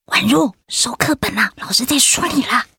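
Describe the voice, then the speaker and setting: Whiny dramatic school girl, high voice, radio drama, over the top, taiwanese mandarin accent. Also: lively intonation, moderate pace, vocal fry, pushed and bright, slightly rough, no echo, heavily acted. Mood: urgent nudging. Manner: whiny, exaggerated.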